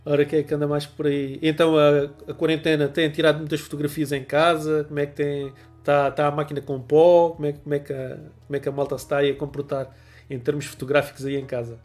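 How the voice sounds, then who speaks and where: A man's voice: on the high side, flat delivery, quick pace, darkish tone, soft background music. Chatty Portuguese man with a cold. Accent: European Portuguese. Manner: chatty, laughs often, greets viewers by name. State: has a cold. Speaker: photography livestream host. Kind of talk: casual livestream chat reading viewer comments. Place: small home room with desk lamp.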